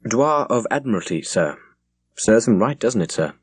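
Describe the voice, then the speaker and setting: A man performs an eager ship's officer in a seafaring audiobook. He is deferential, with a fairly low voice and dry, close sound. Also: big pitch swings, normal speed, breathy edge, no echo. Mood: gleeful. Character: eager, respectful male naval first officer. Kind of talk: audiobook character voice.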